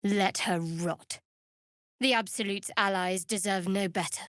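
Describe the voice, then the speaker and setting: A woman voicing a guarded, sardonic young cleric of a dark goddess in a video game, with a dry, measured, clipped delivery. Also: medium pitch, fairly even intonation, no echo, natural voice. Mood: cold, threatening. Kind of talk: video game voice acting, companion dialogue and battle barks.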